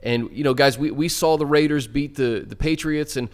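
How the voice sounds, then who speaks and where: Male sports radio host, higher-pitched voice, conversational delivery. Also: moderate pace. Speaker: sports talk show host. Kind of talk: casual sports talk.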